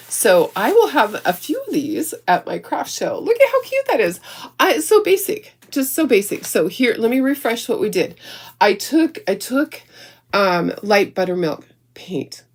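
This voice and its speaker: A middle-aged woman with a squeaky voice, recovering from illness, demonstrating a craft project in a rambling, giggly, chatty way. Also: sing-song, normal speed. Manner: rambling, self-interrupting, giggly. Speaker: craft show host.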